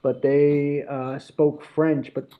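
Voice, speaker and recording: A man's voice: a little high, level tone, slow pace, phone-quality sound. A man in his forties from the United States, a podcast guest telling stories in casual conversation, laughing often and restarting with fillers. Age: 40s.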